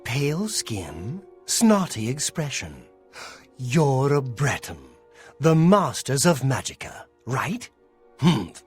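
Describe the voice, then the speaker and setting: A man voicing a taunting dark elf prisoner in a fantasy game, drawing out his words with mocking contempt. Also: medium pitch, husky, full character voice.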